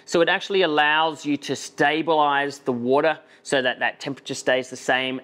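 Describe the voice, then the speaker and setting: A man in his thirties with an Australian accent, giving casual, opinionated advice to camera, gesturing as he talks, seated at a table in a small studio set. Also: medium pitch. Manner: animated, talks with his hands, frank opinions. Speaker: coffee reviewer. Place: indoor studio set with shelves and plants.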